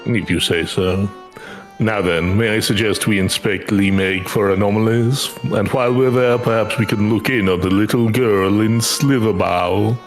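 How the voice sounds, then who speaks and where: Man playing a low, solemn dream-eater. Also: steady tone, soft background music, lightly acted. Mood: calm, solemn. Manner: formal, measured. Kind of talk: fantasy game dialogue.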